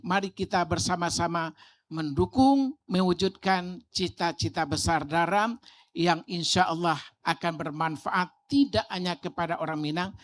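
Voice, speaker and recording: A man's voice: fairly high pitch, slight background noise. Man making a formal appeal. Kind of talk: formal speech.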